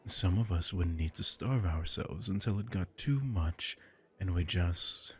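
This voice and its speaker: Man voicing a deep, suave vampire lord. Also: expressive, exaggerated voice. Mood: smooth menace. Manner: smooth, teasing, unhurried. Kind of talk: ASMR audio roleplay.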